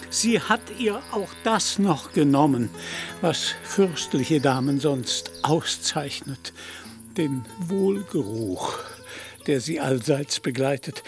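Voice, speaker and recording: Radio play knight: lovelorn, deluded, grandiloquent and plaintive, high-pitched, faint music behind. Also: male voice. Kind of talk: German radio play acting.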